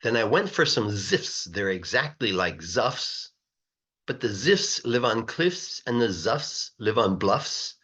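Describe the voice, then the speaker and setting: A man reads a children's story aloud in an expressive, sing-song rhythm, stretching words for emphasis like a teacher reading to a class. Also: on the low side, slow, breathy edge. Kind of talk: animated rhyming storybook narration.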